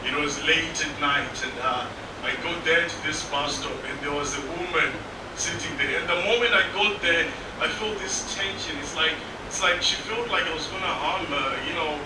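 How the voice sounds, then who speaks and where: A young male comedian from Zimbabwe with a high voice, stammering awkwardly through his stand-up set. He sounds distant in an echoey room, with heavy noise over the recording. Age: young adult. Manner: halting, stammering, awkward delivery. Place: small comedy theatre stage. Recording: noisy, echoey live club, distant mic.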